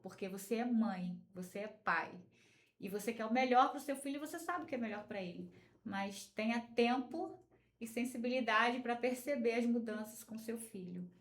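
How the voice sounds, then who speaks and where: Woman guest, gentle advice to parents, higher voice, roomy echo. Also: moderate pace.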